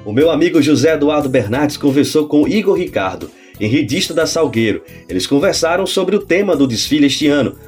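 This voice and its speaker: A man presenting a news report in a scripted, expressive read. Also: on the high side, fairly quick, few pauses, faint music behind.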